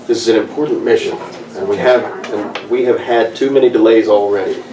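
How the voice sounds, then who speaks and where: A man's voice: on the low side, fairly even intonation, a little fast, crowd noise, close to an everyday voice. A man playing a serious, duty-bound space ranger leader in a dry, matter-of-fact way at a table read. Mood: dry, stern. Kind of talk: informal table read of a sci-fi script. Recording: echoey room, distant mic, clicking noise.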